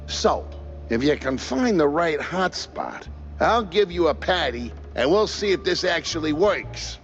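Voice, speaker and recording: A showy cartoon cook, selling himself with grand, savored phrases. His highish voice swoops widely, in slightly dull audio over music. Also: fairly bright tone, heavily acted. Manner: theatrical, boastful, deliberate. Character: excitable male cook with grandiose culinary schemes. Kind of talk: cartoon game acting.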